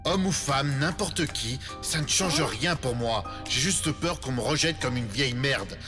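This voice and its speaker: A man playing a brash, foul-mouthed, easily embarrassed teenage punk in an anime fan dub, gruff, slangy and defensive. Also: medium pitch, lively intonation, unhurried, music in the background, natural voice. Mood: embarrassed, sincere.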